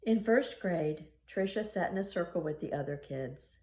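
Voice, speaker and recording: A woman reading a children's picture book aloud in an expressive storytelling style. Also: on the low side, moderate pace. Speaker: storybook reader. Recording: roomy, echoing room sound.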